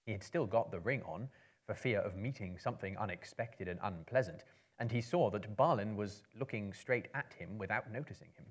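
A man in his thirties giving a measured, expressive live dramatic reading as a storyteller. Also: on the low side, slightly rough. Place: indoor book-lined venue before a small live audience.

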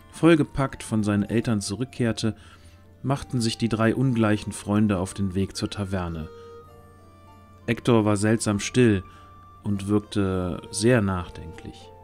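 A male narrator with a fairly low voice tells a scripted fantasy story. Faint music plays behind his reading. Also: darkish tone.

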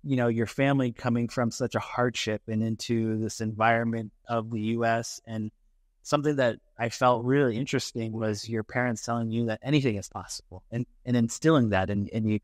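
A man's voice: medium pitch, steady tone, dry recording, studio quality. A young man hosting a podcast, asking rambling questions with many fillers in an office. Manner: halting, filler-heavy questions. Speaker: podcast host. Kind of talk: casual interview. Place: bright office with a lounge set.

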